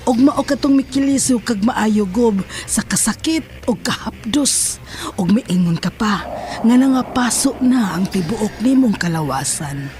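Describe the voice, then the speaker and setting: A woman's voice: a little high, expressive, darkish tone. Intense mystic healer woman over music. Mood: intense, ominous. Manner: dramatic, deliberate. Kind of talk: horror radio drama acting.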